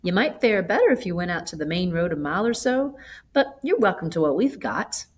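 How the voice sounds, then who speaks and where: A woman's voice: on the low side, sing-song, fairly quick. A woman voicing a chatty, hospitable old country grandmother in a storybook, with a folksy, gossipy manner. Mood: gracious. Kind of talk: storybook character voice.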